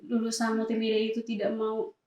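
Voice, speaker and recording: A woman's voice: fairly high pitch, completely flat delivery, a little fast, a little mellow. A woman, a school department head explaining things informally, point by point. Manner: explains point by point, frequent confirming tags. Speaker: vocational school multimedia department head, guest. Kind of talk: informal explanatory interview answers. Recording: echoey room, distant from mic.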